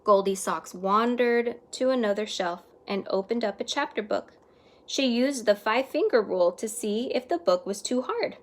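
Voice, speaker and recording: A woman teacher reading a children's story aloud expressively, stretching words and hushing some for emphasis. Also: a little high.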